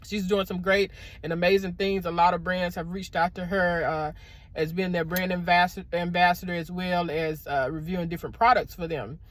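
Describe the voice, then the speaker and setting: A middle-aged woman with a loud, deep speaking voice, giving casual motivational talk to camera on a clip-on mic and calling her viewers y'all. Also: level tone, normal speed. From American South. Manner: warm preacherly encouragement, addresses viewers as y'all. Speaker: motivational vlogger. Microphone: clip-on microphone.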